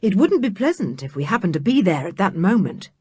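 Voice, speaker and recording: A woman reading aloud, voicing a worried older male scientist in a storybook with a slightly lowered voice. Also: sing-song, a little fast, a little mellow, breathy edge, no room sound. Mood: anxious.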